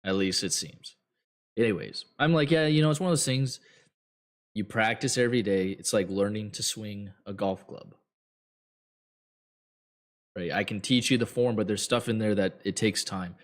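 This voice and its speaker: A man hosting a trading show, giving blunt, rambling advice to viewers with many fillers, his voice getting hoarse. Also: on the low side, moderate pace, long pauses. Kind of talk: casual advice talk to viewers.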